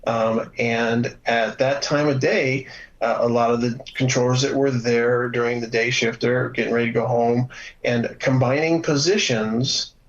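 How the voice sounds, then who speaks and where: A man with a creaky, gravelly voice speaks as an expert guest in a news interview. He explains things in a measured, halting way with many hesitations. The sound is dull and lo-fi. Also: mid-range voice, steady tone. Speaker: former air traffic controller, instructor, guest expert.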